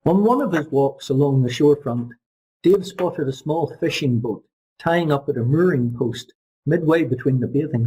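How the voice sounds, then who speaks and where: Husky, creaky-voiced male story reader. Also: medium pitch, warm tone, rough recording. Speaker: audiobook reader. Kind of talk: scripted literary narration.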